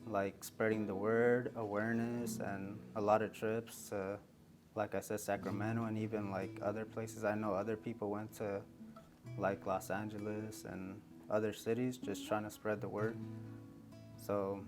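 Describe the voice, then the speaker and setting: A man in his twenties from Mexico, a student answering interview questions in a relaxed way with many fillers. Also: fairly low pitch, a little slow. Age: 20s. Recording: guitar music playing underneath.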